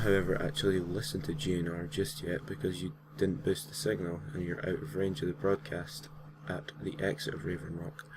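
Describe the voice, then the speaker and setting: A man's voice: sits low, background noise. A man narrating a gaming story in an informal way, reading from a script with casual, slightly hesitant asides. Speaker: host and narrator of a gaming creepypasta series.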